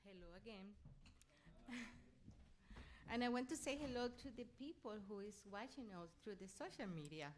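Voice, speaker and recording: A middle-aged or older woman from Venezuela, a native Spanish speaker with a Venezuelan accent, giving an animated personal inspirational talk as a guest speaker. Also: on the high side, normal speed, long pauses, slight background noise. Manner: animated storytelling, often acting out her own reactions; laughs readily while talking.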